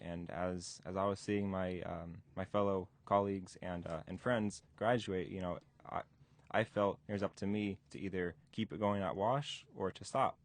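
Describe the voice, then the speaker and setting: Man, 20, very low voice, halting and filler-heavy. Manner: halting, many restarts and fillers. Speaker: DJ instructor. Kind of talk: recorded interview recollection. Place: museum interview room.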